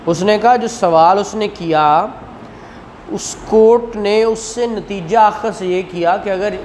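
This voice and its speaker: A woman's voice: medium pitch, darkish tone, slight background noise. A woman lecturing as a law teacher, reading out statute phrases and explaining them as she goes. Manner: explanatory, switches between English legal text and Urdu glosses.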